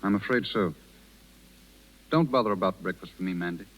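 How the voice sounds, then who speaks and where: An actor playing a gentle, worried small-town doctor in a 1930s radio drama. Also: male voice, sits low, fairly quick, close to an everyday voice. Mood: subdued. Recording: dull 1930s radio recording.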